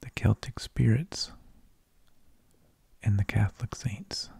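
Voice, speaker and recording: Slow, breathy whispering man, ASMR. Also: lowish voice, a little mellow, no echo. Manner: slow, deliberate phrasing with pauses. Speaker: ASMR host. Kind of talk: whispered ASMR narration of history. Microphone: close microphone.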